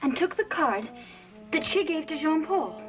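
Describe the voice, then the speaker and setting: A haughty young woman in a gothic TV soap. She is sullen and sharp-tongued, high in pitch, over clearly audible music. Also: lively intonation, unhurried, poor audio. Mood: cold, challenging. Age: young adult. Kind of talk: 1970s gothic soap opera acting.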